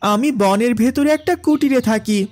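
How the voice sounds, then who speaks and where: A man's voice: extremely high pitch, normal speed, darkish tone. A man voicing Raju's part in a cartoon, a poor, hardworking and polite village boy who sounds earnest and plaintive. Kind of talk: cartoon story dialogue.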